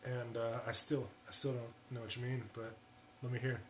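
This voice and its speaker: Casual man in his late twenties, mid-pitched. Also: flat delivery, moderate pace, a little hiss or hum. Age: late 20s. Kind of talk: casual chat.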